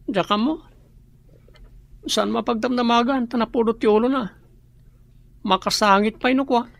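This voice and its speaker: A woman's voice: on the high side, moderate pace, gravelly, dry recording. A woman acting a resentful boy, an older brother, in a radio drama with a bitter, resigned manner. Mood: evasive, glum.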